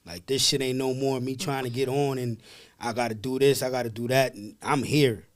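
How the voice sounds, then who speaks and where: A man co-hosting an interview in a casual, slangy way, throwing in animated interjections. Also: mid-range voice, normal speed, dry recording. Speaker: interviewer, co-host.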